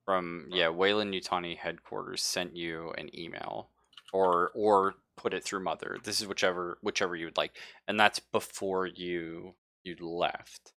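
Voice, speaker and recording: A man's voice: low voice, steady tone, unhurried, sharp tone, no room sound. A man running a tabletop roleplaying game, improvising narration and talking options through casually with his players. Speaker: game master.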